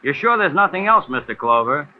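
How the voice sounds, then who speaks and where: A man's voice: a little high, big pitch swings, brisk. An actor playing a talkative, obliging factory foreman with an American accent, plain-spoken, in 1940s radio drama style. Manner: plain-spoken, eager to help. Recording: tinny noisy old radio recording.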